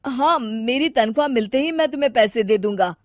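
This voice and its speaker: A woman's voice: a little high, animated, brisk, no echo, pitched above the performer's own voice, close to an everyday voice. Woman playing harried husband, placating, apologetic, earnest, storybook dialogue, dry sound. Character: harried, placating adult husband and son, short of money.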